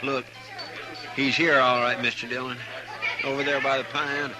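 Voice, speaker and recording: An actor playing a loyal, folksy American deputy in a 1950s radio western, earnest and deferential. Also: male voice, mid-range voice, level tone, moderate pace, over music, lightly acted, rough recording. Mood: alert, deferential.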